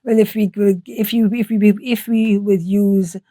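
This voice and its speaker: An older woman from Trinidad and Tobago, a scientist answering interview questions in a measured way, often restarting her phrases. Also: medium pitch, fairly even intonation, mellow, dry recording. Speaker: guest, nutrition scientist. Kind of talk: podcast interview.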